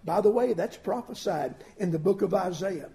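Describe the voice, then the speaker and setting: A man preaching a sermon with folksy asides, swinging between loud emphatic lines and soft drawn-out phrases. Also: high-pitched.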